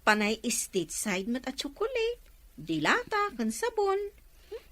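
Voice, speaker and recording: Nosy, envious village gossip acted by a woman, high voice, very animated delivery, audio drama. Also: a little slow, heavily acted. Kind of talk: Ilocano audio drama acting.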